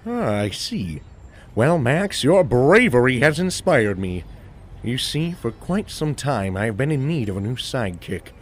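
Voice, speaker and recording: A man's voice: mid-range voice, big pitch swings, unhurried. A man playing a pompous, melodramatic, dim but big-hearted superhero in a cartoon audio drama. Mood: grandly impressed.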